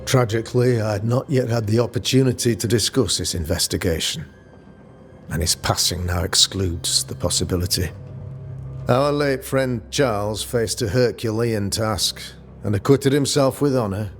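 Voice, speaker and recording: An actor playing a solemn, self-regarding older town governor in a video game, speaking in formal, measured, archaic phrasing. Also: male voice, fairly low pitch, close to an everyday voice. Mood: earnest, grave. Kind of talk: dramatic video game acting. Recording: music under the voice.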